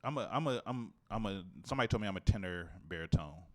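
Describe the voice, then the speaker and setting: A man hosting a podcast in quick, laughing banter, voice jumping up high at times. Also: expressive. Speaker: podcast host. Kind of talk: casual podcast chat.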